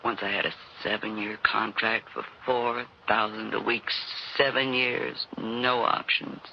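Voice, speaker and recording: Halting, creaky-voiced jaded showman. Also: on the low side, level tone. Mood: agitated, bitter. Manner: halting, stammering, repeating himself. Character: jaded, desperate former showman from a poor childhood. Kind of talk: 1960s radio drama acting. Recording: dull, hissy old radio recording.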